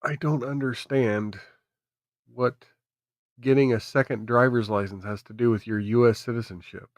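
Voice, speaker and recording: A man's voice: medium pitch, fairly even intonation, normal speed, no room sound. A man hosting a live stream with casual, irreverent news commentary, sometimes reading articles aloud in an informal way.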